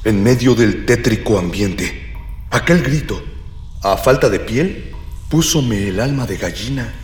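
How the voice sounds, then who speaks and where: A florid ex-policeman storyteller, played by a man, narrating a radio drama in grand, archaic style. The voice is fairly low and rings with heavy echo. Soft music plays underneath. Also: lively intonation, a little slow. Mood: grandiloquent. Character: florid ex-policeman narrator, male companion of the hero.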